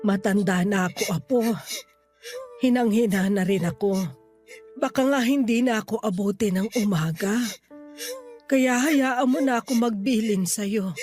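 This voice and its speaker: A woman's voice: mid-range voice, a little slow, close to an everyday voice. Woman acting a frail, pleading grandmother over music. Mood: weary, resigned. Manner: gentle, pleading, sorrowful. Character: frail, ailing grandmother near seventy, tender and resigned. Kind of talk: Tagalog radio drama acting. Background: background music.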